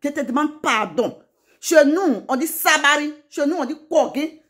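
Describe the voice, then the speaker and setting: High-voiced woman, indignant and pleading. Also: lively intonation, normal speed, a bit bright. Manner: indignant, pleading, repeats phrases for emphasis. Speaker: social media commentator. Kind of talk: emotional monologue addressed to viewers.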